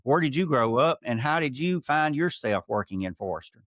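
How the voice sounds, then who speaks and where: A man's voice: mid-range voice, lively intonation, normal speed, a bit bright, no room sound, clean studio sound. A middle-aged man from Alabama with a Southern accent, hosting a podcast interview and drifting into friendly asides. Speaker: podcast host. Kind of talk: casual interview.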